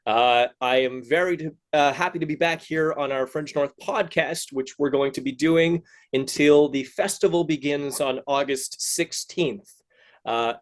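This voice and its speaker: A man hosting a casual interview podcast, speaking haltingly and circling back to rephrase his questions. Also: medium pitch. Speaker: podcast host interviewing artists.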